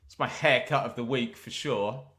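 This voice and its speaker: A man's voice: mid-range voice, moderate pace, a bit bright. A man hosting a live football watch-along, commentating on the play and chatting to viewers with jokes and asides. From England. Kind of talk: live match commentary and chat.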